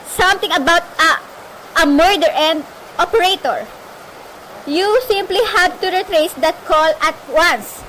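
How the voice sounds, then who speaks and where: A woman's voice: extremely high pitch, a little slow, bright, forceful tone, full character voice. A woman playing a frantic, imperious telephone caller in an amateur suspense radio play, melodramatic and insistent. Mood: anxious, urgent. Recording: noisy amateur recording with faint hiss.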